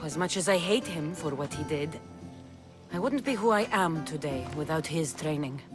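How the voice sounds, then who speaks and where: A woman's voice: sits low, expressive, normal speed. A defiant Spartan mercenary speaks firmly and dryly, in video game style. Her wry edge sits over light background music. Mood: reflective.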